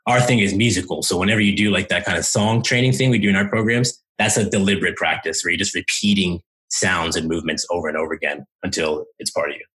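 A man speaking as an interview guest, explaining and telling anecdotes in an informal, animated way with acted-out asides. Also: low voice, fast talker, few pauses, rough recording. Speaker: interview guest, language coach.

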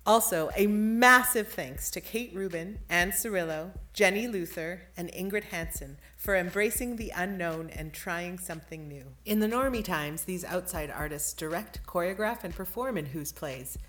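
A woman's voice: lowish voice, normal speed, hardly any pauses, a bit bright. A woman presenting a theatre podcast's credits, reading scripted thanks and announcements.

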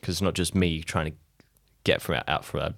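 A man playing a guilt-ridden, introspective friend in an improvised audio drama, opening up in halting, repeated phrases. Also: extremely low pitch, steady tone, gravelly, dry recording, studio quality, heavily acted. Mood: guilty, burdened.

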